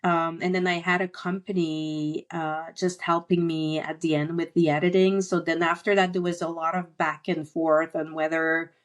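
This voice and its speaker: A middle-aged woman who grew up speaking French, with a somewhat low voice. She talks in a relaxed interview manner. Her speech is full of small pauses and fillers. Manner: hesitant, many fillers. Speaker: podcast guest, author. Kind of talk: casual interview talk.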